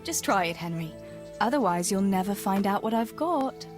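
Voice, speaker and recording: An actress playing a tender, gently teasing young village woman in a medieval video game, soft-spoken and earnest. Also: mid-range voice, animated, moderate pace, over music, close to an everyday voice. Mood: playful, teasing. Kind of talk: naturalistic video game dialogue acting.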